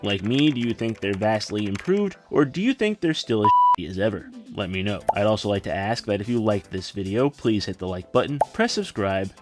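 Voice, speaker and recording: A man's voice: on the low side, expressive, brisk, few pauses. A man narrating a scripted film video essay as its host, with wry, sardonic asides. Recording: music behind. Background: background music.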